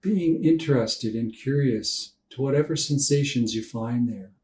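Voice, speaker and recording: A man guiding a meditation for sleep in a calm, unhurried, gentle instructional manner with long pauses. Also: medium pitch. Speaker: meditation guide. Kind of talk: guided body scan meditation for sleep. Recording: roomy echo, distant from mic.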